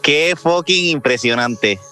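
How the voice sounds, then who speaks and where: A man's voice: mid-range voice, animated, slow, sharp tone, soft background music. A man chatting casually on a podcast, reacting in an exclamatory, slangy way with swearing. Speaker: podcast panelist.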